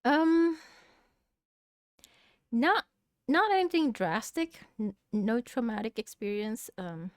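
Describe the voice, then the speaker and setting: Hesitant woman from Indonesia, smooth voice. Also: a little high, expressive, slow, pauses often, dry recording, studio quality. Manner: hesitant, many fillers, soft laughs. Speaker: guest, real estate investor. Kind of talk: casual interview.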